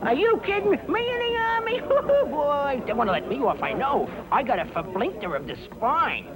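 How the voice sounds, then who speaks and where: An actor voicing a whiny, cocky, complaining young draftee in an animated cartoon, on an old film soundtrack. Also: high voice, normal speed, music in the background, low-quality recording.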